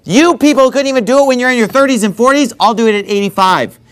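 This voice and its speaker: Bold, eager old warrior in his eighties, emphatic and pitched high , as a preacher puts him on. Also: fast talker. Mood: defiant. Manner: emphatic, raised voice, near shouting.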